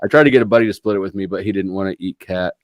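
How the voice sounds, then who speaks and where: A man's voice: low-pitched, racing, no echo, clean studio sound. A man co-hosting a casual livestream chat, telling stories in a laid-back way.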